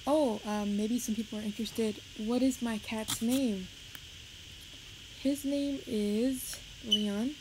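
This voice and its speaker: A young woman with a Puerto Rican accent chatting on a livestream, talking fast and rambling nervously. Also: on the high side, fairly even intonation. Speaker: live streamer. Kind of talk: rambling casual chat on a livestream. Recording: noisy home stream audio.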